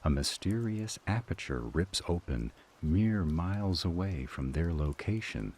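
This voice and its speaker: A man playing a formal, measured supernatural counsel, cautious and grave, in an audio roleplay drama. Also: sits low, lively intonation, a little mellow, slightly rough, exaggerated voice. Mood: grave warning.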